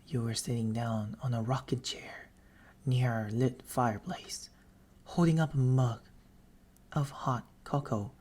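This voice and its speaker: Man acting as a quiet eerie demon, very slow, half-whispered, coaxing, close-up ASMR roleplay, patient and theatrical. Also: mid-range voice, natural voice. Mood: probing, soft. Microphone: close binaural microphone.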